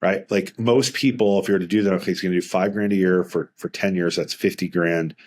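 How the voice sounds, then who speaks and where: Middle-aged man, lowish voice, earnest, rambling with restarts, financial advisor being interviewed. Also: fairly even intonation, a little fast, a little mellow, slight background noise. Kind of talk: casual interview talk.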